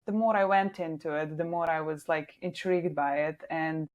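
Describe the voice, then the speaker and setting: A woman's voice: low-pitched, steady tone, normal speed, muffled recording. A young woman from Slovenia with a Slovenian accent, chatting casually as an interview guest and often saying "like.". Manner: chatty, frequent "like" fillers. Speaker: guest, social media manager.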